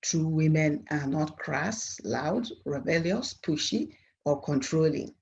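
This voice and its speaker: A middle-aged woman with a Nigerian accent and Igbo as her mother tongue reads a formal academic presentation in a measured, earnest way. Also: low voice, expressive, vocal fry, a little mellow, husky, dull audio. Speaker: university professor presenting at a conference. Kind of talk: formal scripted academic lecture.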